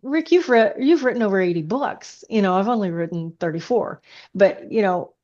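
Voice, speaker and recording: A woman's voice: medium pitch, expressive, moderate pace, a little mellow. A woman science fiction author chatting casually as a podcast guest, often breaking off and restarting with enthusiasm. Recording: dull, lo-fi sound.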